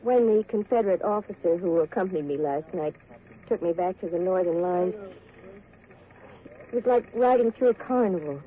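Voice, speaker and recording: Young officer's wife actress, weary, subdued, earnest; 1940s radio drama, thin noisy recording. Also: female voice, fairly low pitch, moderate pace, phone-quality sound, natural voice.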